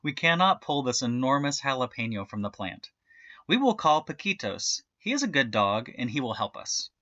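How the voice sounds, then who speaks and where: A man's voice: medium pitch, big pitch swings, normal speed, pushed and bright, dry recording, dull audio. A man voicing a confident young farm daughter in a storybook, perky and emphatic. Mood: eager, calling out.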